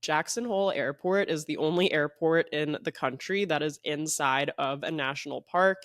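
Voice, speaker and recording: A man's voice: a little high, a bit bright, dry recording, studio quality. A young man hosting a podcast in casual solo chat. He sounds a little congested and talks in a rambling, chatty way, often saying "like". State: slightly congested. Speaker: podcast host.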